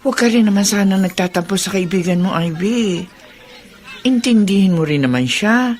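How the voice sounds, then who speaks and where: An actress playing a gentle middle-aged mother giving advice in a Filipino radio drama. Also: medium pitch, expressive, moderate pace, slight background noise, natural voice. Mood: gently advising.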